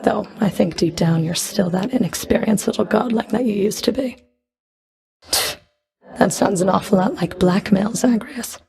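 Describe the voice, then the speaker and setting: A woman playing a cold, proud Fury of the underworld in a video game, dry and cutting, with a creaky edge to her voice. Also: mid-range voice, moderate pace, warm tone. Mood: cool, measured. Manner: dry, cutting, haughty, controlled. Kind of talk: video game character voice lines.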